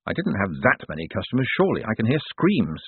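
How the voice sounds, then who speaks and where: A man voicing a quick-witted, wry male conman hero with a glib manner. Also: fairly low pitch, wildly swooping pitch, breathy edge, no room sound, narrow, tinny audio, exaggerated voice.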